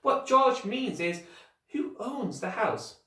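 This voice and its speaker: A man's voice: extremely high pitch, animated, takes it slowly, sharp tone, pitched above the performer's own voice, exaggerated voice. A man voicing a curious, eager young schoolgirl in a storybook, earnest and questioning. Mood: eager, anxious. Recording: roomy echo, distant microphone.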